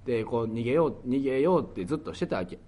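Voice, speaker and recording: A man with a Kansai accent co-hosting a radio show, excitable comedic banter with repeated words. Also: mid-range voice, rapid-fire.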